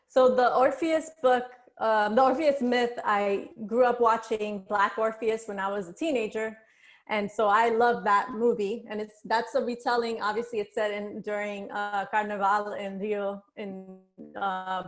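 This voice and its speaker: Higher-pitched woman author, casually reflective. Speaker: author and panelist. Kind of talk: casual reflective panel conversation.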